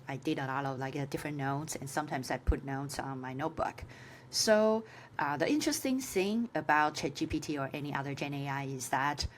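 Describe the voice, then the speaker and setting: A woman with a Chinese accent, a native Chinese speaker, giving an informal tutorial as a course instructor, with halting phrasing full of filler sounds. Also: low-pitched, a little hiss or hum. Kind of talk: informal screen-share tutorial.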